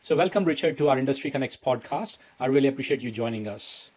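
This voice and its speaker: A man's voice: medium pitch, lively intonation, fast, a little mellow. A man from India, hosting a podcast and asking friendly, chatty questions. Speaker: podcast host. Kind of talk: casual podcast interview.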